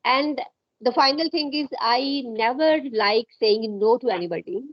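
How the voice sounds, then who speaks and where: A middle-aged woman with an Indian accent chats in an encouraging, unhurried, explanatory way over a lo-fi internet call. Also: a little high, no room sound. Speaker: English-speaking guest, educator. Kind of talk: casual conversational English practice chat. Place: at home indoors, on a remote call. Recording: dull lo-fi internet call audio.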